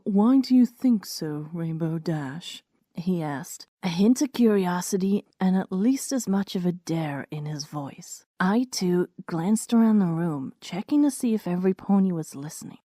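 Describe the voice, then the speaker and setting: A woman's voice: on the low side, dark tone, no echo, clean studio sound. A woman reading scripted first-person story narration in a wry, understated way.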